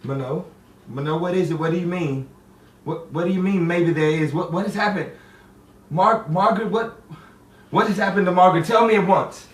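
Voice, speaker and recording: An actor playing a grief-stricken, brooding man tormented by lost love, with halting, theatrical delivery. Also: sits high, natural voice. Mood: agitated disbelief. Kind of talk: 1950s-style romantic drama monologue and dialogue. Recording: roomy, distant, faintly noisy stage sound.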